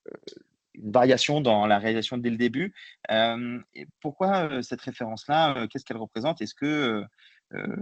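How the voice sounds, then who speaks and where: A man's voice: on the low side, dry recording. A man moderating a Q&A, relaying audience questions in an informal, conversational way, circling hesitantly before each one. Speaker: moderator of a filmmaker Q&A with students.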